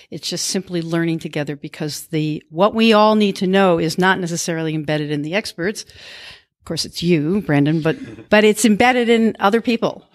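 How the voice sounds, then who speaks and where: Earnest low-voiced woman guiding a group. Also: no room sound, clean studio sound. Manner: earnest, expressive, uses imagery. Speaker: guest author explaining a game. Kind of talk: group discussion facilitation.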